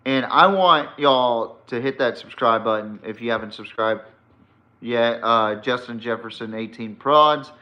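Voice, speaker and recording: A man with a mid-pitched voice talks like a sports podcast host sharing strong opinions. His delivery is casual but emphatic and blunt, with free swearing. The recording sounds dull and lo-fi. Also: unhurried. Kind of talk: casual, opinionated solo football commentary.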